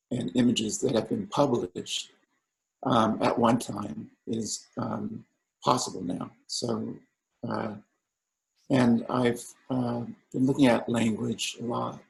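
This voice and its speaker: A man who grew up speaking Samoan, an artist answering panel questions in a halting way with many fillers and restarts. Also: mid-range voice, steady tone, gravelly, low-quality recording.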